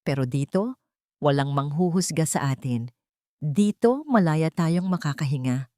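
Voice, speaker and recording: Woman reading as soft-spoken earnest gentleman, low. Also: animated, a little slow, dry recording, clean studio sound. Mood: tender, apologetic. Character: gentle, apologetic wealthy man, protective lover.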